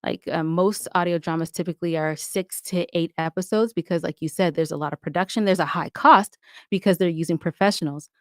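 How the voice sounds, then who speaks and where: An enthusiastic woman in a conversational interview, explaining her points with emphasis. Her voice sits a little low, and the sound is dry and close, with hardly any room in it. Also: fairly even intonation, a little fast, dry recording. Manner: enthusiastic, emphatic, explanatory, often checks with "right?". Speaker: podcast guest, audio drama producer.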